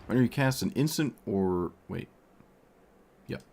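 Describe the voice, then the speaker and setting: A man's voice: medium pitch, animated, normal speed, vocal fry, a little mellow, slight background noise. A male streamer losing his voice, thinking aloud as he plays a card game. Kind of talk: casual gameplay commentary.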